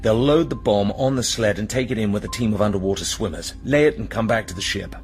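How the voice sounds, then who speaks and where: A man's voice: lowish voice, quick pace, lightly acted. A British man playing a cool, decisive commander and secret agent in a radio drama, speaking with composed, precise authority.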